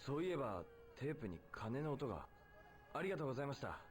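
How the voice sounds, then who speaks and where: An actor playing a polite, brisk investigator in video game dialogue. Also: male voice, medium pitch, very animated, lightly acted. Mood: polite inquiry. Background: clear game music.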